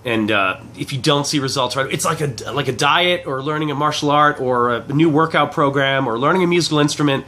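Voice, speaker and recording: A man in his forties speaks in a fairly high, bright and forceful voice while fighting a head cold. He delivers a casual, rambling online pitch, often doubling back to rephrase what he just said. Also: a little fast, few pauses, a little hiss or hum. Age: 40s. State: head cold, clearing throat. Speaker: affiliate marketing reviewer.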